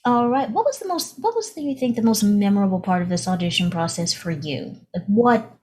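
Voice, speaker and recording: A woman's voice: mid-range voice, expressive, brisk, noisy background, close to an everyday voice. A woman voicing an upbeat female presenter who interviews a new pop group in a tabletop game, emphatic and repeating her phrases. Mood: bright, encouraging.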